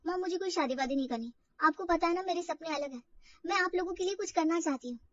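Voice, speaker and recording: A woman's voice: very high voice, fast, no echo, distant mic, lo-fi sound, pitched above the performer's own voice. A woman voicing an insecure young woman who is fretful and defensive in a dramatized story. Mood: defiant. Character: insecure, self-doubting young woman from Old Delhi.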